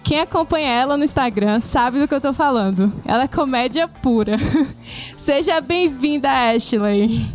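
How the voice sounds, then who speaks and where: A Brazilian woman hosts a podcast, chatting in a lively, teasing way. Her voice is high, and faint music sits under it. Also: moderate pace. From Salvador, Bahia, Brazil. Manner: lively, joking. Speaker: podcast host. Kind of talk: casual podcast chat.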